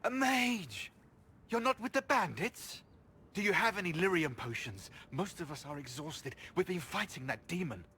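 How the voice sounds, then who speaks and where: A man's voice: high-pitched, lively intonation, normal speed, bright, forceful tone, husky, heavily acted. An actor playing a defensive, condescending male mage who gets flustered under pressure in a video game drama. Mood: anxious relief. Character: flustered, self-important human male mage pleading his case.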